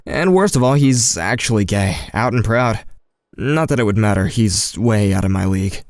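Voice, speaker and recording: A man's voice: lowish voice, animated, normal speed, dry recording, studio quality. A man playing an anxious, shy teenage boy who narrates his own story in an audio drama. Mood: smitten, self-deprecating. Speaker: narrator and lead actor.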